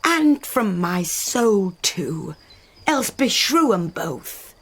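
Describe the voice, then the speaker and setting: Husky older British nurse. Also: female voice, mid-range voice, big pitch swings, extremely slow, heavily acted. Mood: coaxing, pragmatic. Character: earthy, pragmatic older female nurse servant. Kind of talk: Shakespearean radio drama acting.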